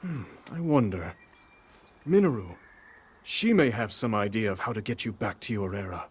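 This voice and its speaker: A man voicing a calm, dignified ancient king in a video game, speaking in a measured, formal way. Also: fairly low pitch, breathy edge. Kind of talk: video game cutscene voice acting. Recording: faint music behind.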